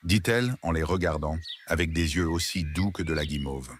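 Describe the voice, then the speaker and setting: A male storyteller with a deep voice reads a children's tale. His delivery is expressive and playful, with faint noise in the recording. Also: a little fast. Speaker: storyteller, narrator. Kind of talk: scripted narration of a children's tale.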